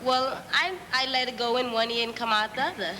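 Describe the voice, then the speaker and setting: Young woman, highish voice, noisy tape. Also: fairly quick, pushed and bright. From Brooklyn, New York. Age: teens to young adult. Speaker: drama student. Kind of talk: casual interview talk. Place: large school rehearsal hall. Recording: noisy, worn tape copy.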